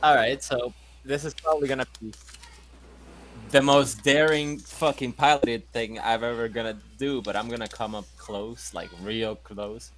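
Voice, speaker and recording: A man's voice: medium pitch, steady tone, normal speed. A man playing a tabletop game, talking casually with swearing and sarcasm. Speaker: player and ship pilot.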